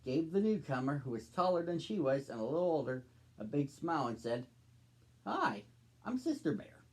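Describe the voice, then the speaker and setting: A man's voice: medium pitch, a little fast, darkish tone. A man in his thirties hosting and reading a storybook aloud for children. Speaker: storybook reader, host.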